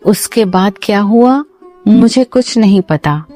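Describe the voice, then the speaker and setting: A woman playing a frightened, caring mother in a cartoon story, recounting things dramatically and haltingly. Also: mid-range voice, lively intonation, warm tone, soft background music. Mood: distressed. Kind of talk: Hindi cartoon moral-story dialogue.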